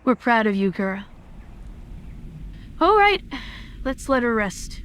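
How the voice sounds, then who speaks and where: A woman voicing a caring young idol friend in an earnest, expressive drama style. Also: on the high side, slow, clean tone, exaggerated voice. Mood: tender.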